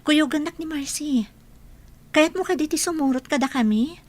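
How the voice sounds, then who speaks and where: A woman's voice: high voice, moderate pace, darkish tone, lightly acted. A woman playing a hospitable, friendly big-sister figure in an Ilocano radio drama, with an Ilocano Filipino accent. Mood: inviting.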